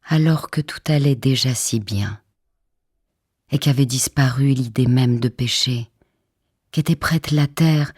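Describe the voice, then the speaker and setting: Female poetry reader for audiobook, breathy husky tone, unhurried solemn dramatic delivery. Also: extremely low pitch, a little mellow. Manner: measured, solemn delivery with deliberate phrasing. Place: radio theatre recording studio.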